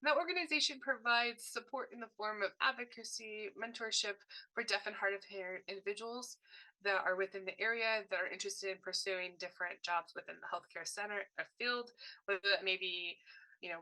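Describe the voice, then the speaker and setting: A woman with a bright, forward voice interprets a signed workshop presentation aloud. She speaks in short bursts and rephrases as she goes, correcting words partway through. Her pitch sits in an ordinary middle range. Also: poor audio. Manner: short broken phrases with frequent self-corrections. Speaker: sign language voice interpreter.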